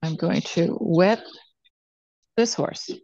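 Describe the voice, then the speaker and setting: Older lady talking thru her painting demo, lowish voice, lots of pauses, dry close muffled sound. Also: vocal fry, dark tone, dry recording. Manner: unhurried, pausing between phrases while painting. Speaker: watercolor painting teacher. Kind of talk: real-time demonstration narration, thinking aloud. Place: indoors at a painting table.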